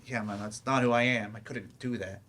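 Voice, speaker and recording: A man playing a modest working adult student in a staged play reading, with casual, unpolished, low-key speech. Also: fairly even intonation, moderate pace, a bit bright. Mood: humble.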